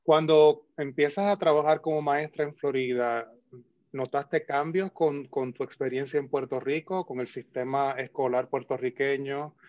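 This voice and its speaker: A man hosting a podcast interview, asking measured questions in a gentle way. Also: medium pitch, fairly even intonation, no echo. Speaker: podcast host. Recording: dull, dry lo-fi audio.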